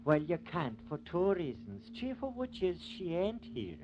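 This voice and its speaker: A man's voice: a little high, wildly swooping pitch, normal speed. An actor playing a plain-spoken country lawman with ungrammatical folksy speech, in the style of 1950s radio drama. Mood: irritated, defensive. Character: folksy male rural sheriff and gruff, defensive deputy. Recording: muffled, noisy old radio recording.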